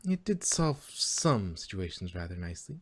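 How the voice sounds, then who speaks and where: A man's voice: low-pitched, sing-song, moderate pace, breathy edge, exaggerated voice. A man voicing a flustered young pony princess, regal but anxious and sardonic, in an amateur fan fiction reading.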